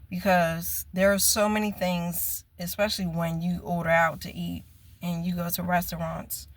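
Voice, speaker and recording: A woman in her fifties talking slowly and earnestly to her viewers in a casual personal vlog. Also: lowish voice, gravelly. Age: 50s. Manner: slow, reflective, earnest. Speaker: vlogger.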